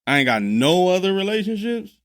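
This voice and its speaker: A man's voice: high voice, big pitch swings, a little fast. A man in his thirties co-hosting a podcast, talking in a laid-back, loose, slangy way and laughing often. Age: 30s. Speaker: podcast co-host. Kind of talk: casual chat.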